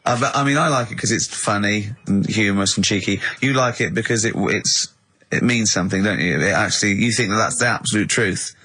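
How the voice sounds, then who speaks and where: A man's voice: lowish voice, fairly quick, sharp tone, slightly rough. A man hosting a casual comedy radio show, teasing and asking questions in an incredulous way.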